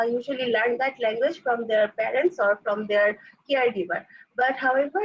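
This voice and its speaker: A young woman presents her graduate coursework at a seminar. She explains patiently, leaning on "like" and "uh" as she goes. Her voice is husky and somewhat high, and the recording sounds dull and lo-fi. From Bangladesh. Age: young adult. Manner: explanatory, frequent "like" and "uh" fillers.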